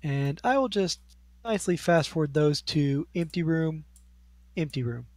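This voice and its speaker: A game master narrating scenes and outcomes in a tabletop role-playing game, describing rooms in an unhurried, descriptive way. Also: medium pitch, lively intonation, darkish tone, no room sound.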